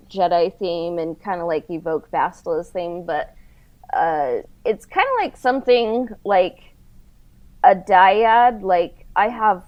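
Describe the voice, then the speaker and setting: Woman, medium register, casual creative discussion, somewhat lo-fi recording. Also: mid-range voice. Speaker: audio drama creator. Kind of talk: casual chat about creative work. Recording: slightly dull lo-fi audio.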